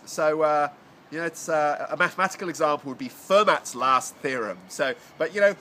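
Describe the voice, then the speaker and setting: A man's voice: a little high, fairly quick, sharp tone, a little hiss or hum. Bunged-up chatty man vlogging. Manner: chatty, rambling, many fillers and restarts. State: congested with a cold. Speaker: vlogger reviewing a self-help book. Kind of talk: casual to-camera chat. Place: home garden area.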